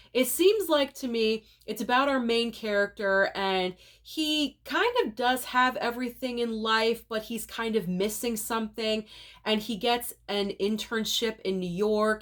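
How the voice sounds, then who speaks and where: A woman with a cold and a nasal voice, chatting through a casual rundown of upcoming movies for her channel viewers. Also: medium pitch. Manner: chatty, opinionated, direct to viewers. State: sick, nasal voice.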